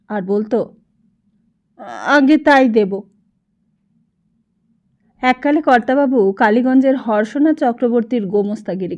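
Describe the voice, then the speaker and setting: A woman's voice: on the high side, dark tone, muffled recording. A woman narrating a novel expressively as an audiobook.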